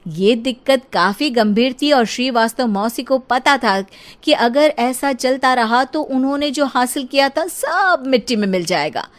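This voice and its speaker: A woman's voice: high-pitched, animated, unhurried. A woman narrator telling a scripted comic family tale. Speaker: story narrator.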